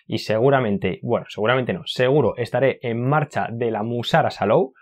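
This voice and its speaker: A man's voice: mid-range voice, normal speed. Upbeat young man on a lapel mic. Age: young adult. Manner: upbeat, chatty, gestures while talking. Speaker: cycling YouTuber. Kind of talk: year-in-review talking to camera. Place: indoors in front of a stone-wall backdrop. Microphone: clip-on lapel mic.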